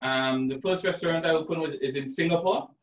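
A man from Trinidad who works as a chef explains his cooking in a relaxed, chatty way. His voice sits fairly high. The sound is roomy and dull, picked up by a camera across the kitchen. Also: fairly quick, recorded from a distance, a little hiss or hum. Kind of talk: live cooking demo. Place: home kitchen indoors. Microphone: far camera, video call.

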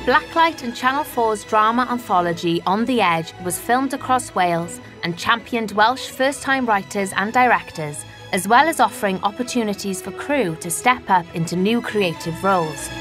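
A woman gives a measured voice-over narration in a mid-range voice. Clear music plays underneath her the whole time. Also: mid-range voice, expressive.

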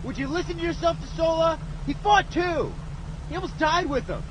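An actor playing an agitated, insistent young infantry soldier in a war video game. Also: male voice, extremely high pitch, expressive, normal speed, background noise. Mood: reproachful.

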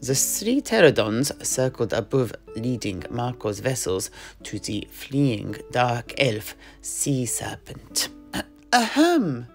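A man's voice: mid-range voice, slow pace, faint music behind. A man narrating a family tale in an audio drama, rambling with frequent fillers. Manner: relaxed, rambling storytelling with many fillers. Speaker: storyteller guest.

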